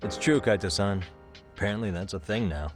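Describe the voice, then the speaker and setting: An actor playing a dry, courteous, easygoing investigator in an English-dubbed game cutscene. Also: male voice, fairly low pitch, brisk, lightly acted. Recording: faint music behind the voice.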